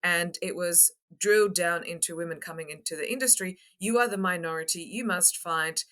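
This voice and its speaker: A young Australian woman answering interview questions as a guest, articulate and emphatic, with measured phrasing. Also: fairly low pitch, fairly bright tone. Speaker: podcast guest, construction coach. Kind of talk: interview talk.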